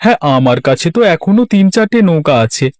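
A man's voice: sits high, animated, brisk, a little mellow, dry recording, studio quality. A man voicing a friendly, eager village shopkeeper uncle in a cartoon, chatty and obliging. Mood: agreeable. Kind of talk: Bangla cartoon voice acting.

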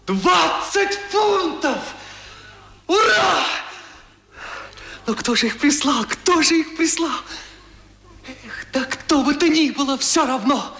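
An impulsive, penniless young gentleman in a 1970s radio play. His voice is high and bright, and it swings widely in pitch. He speaks in slow, theatrical, excitable bursts that repeat themselves. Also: exaggerated voice. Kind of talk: Soviet radio drama acting. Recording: 1977 radio play recording.

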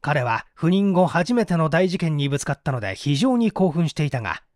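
A man's voice: fairly high pitch, sing-song, a little fast, darkish tone, no echo, clean studio sound. A man reading scripted literary narration as an audiobook narrator. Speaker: audiobook narrator, stage actor.

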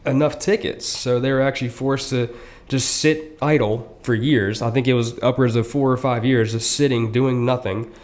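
A young man co-hosting a podcast, explaining history in casual chat with frequent fillers and quick asides. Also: mid-range voice, steady tone, a little mellow. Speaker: podcast co-host.

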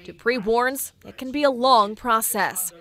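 A woman in her thirties reads an investigative news script with a measured, clear delivery. Her voice sits a little high and sounds bright and crisp. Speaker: investigative reporter.

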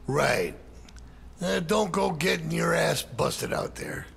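A man's voice: fairly high pitch, expressive, slow pace, gravelly, fairly bright tone, husky, exaggerated voice. A man playing a middle-aged, hot-tempered, foul-mouthed crime boss in a video game, exasperated and profane. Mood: irritated. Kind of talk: cinematic game dialogue.

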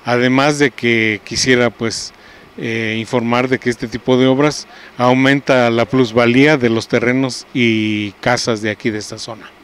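A middle-aged man with a Mexican accent giving a formal, halting statement as a municipal official. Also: mid-range voice, fairly even intonation, a bit bright, clear, resonant tone. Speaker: municipal public lighting official. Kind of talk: interview statement. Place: outdoors by a roadside. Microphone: handheld reporter microphone. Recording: noisy outdoor field interview.

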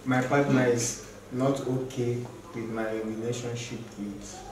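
Young man, measured talker, roomy echo, far-off mic. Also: medium pitch, steady tone, a crowd in the background. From Nigeria. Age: young adult. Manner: measured, thoughtful explaining. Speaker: guest. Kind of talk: casual group discussion. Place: living room, seated on a couch. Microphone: far camera.

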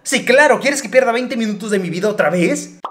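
A young man, narrating a casual vlog in a sarcastic, playful, animated way from a small bedroom. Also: extremely high pitch, brisk, very noisy. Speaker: YouTuber. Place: small bedroom with gaming chair.

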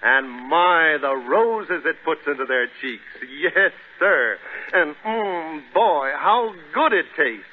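A man's voice: fairly high pitch, lively intonation, slow. A man announcing a 1930s radio show in a folksy, enthusiastic sales style. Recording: tinny 1930s radio recording, music behind.

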